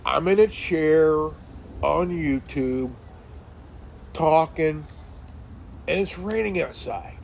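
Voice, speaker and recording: A man in his late forties talking in a casual monologue, halting with many fillers and restarts, sitting in a chair indoors. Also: a little high, lively intonation, vocal fry, a little hiss or hum. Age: 40s. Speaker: commentary channel host.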